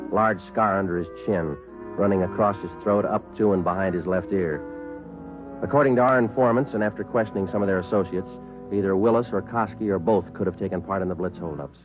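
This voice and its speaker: Deadpan radio police sergeant, played by a man, low and businesslike, thin vintage recording. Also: lightly acted. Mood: dry, official. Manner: deadpan, terse, matter-of-fact. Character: terse, businesslike male police detective sergeant. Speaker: lead actor and narrator. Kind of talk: 1940s-50s radio detective acting. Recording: tinny vintage radio, faint music.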